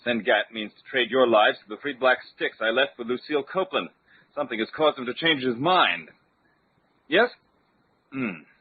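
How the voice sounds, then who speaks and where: An actor playing a calm, authoritative adventurer hero with a measured, formal, commanding manner in an old-time radio drama. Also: male voice, medium pitch, husky, no echo. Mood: grave. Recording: thin, phone-like radio recording.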